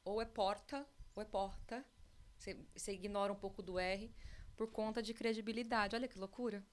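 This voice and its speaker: A woman's voice: medium pitch, fairly quick. A woman in her forties with a São Paulo countryside Brazilian accent, a guest talking freely on a podcast and often breaking off mid-sentence. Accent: São Paulo countryside Brazilian Portuguese. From interior of São Paulo state, Brazil. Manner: chatty, animated, breaks off and restarts. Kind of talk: casual podcast chat. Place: farm in the countryside.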